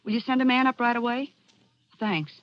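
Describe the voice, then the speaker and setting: A woman's voice: fairly high pitch, brisk, lightly acted. Worried, tough gangster's secretary, played by a woman. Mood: businesslike. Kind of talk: 1940s radio drama acting. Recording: dull vintage 1940s radio recording.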